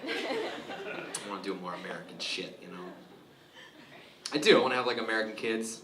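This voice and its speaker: A stand-up comedian from Romania performing a live club set, delivering dry set-ups and jumping into loud, shrill voices for his punchlines. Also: big pitch swings, moderate pace, far from the mic. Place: live club show with audience laughter. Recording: roomy club sound from afar, audible noise.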